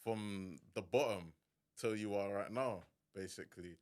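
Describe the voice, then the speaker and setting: A man hosting a casual podcast, talking in a relaxed, slang-heavy way. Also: on the low side, a little slow. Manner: laid-back slang-heavy chat, hype intro. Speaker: podcast host.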